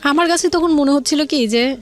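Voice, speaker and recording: A woman's voice: high voice, moderate pace, soft background music. A woman with a Bangladeshi accent telling her personal story as an interview guest, colloquially, often repeating and rephrasing herself.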